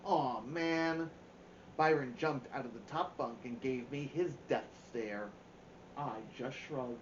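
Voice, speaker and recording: A man reading a children's novel aloud as a storyteller. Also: medium pitch. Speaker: story-time reader. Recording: roomy, dull, faint background noise.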